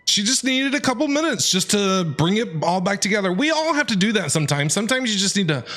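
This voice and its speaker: A man streaming a video game, giving casual, enthusiastic and expressive commentary. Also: sits high, fast talker. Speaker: gaming streamer. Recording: music behind the voice.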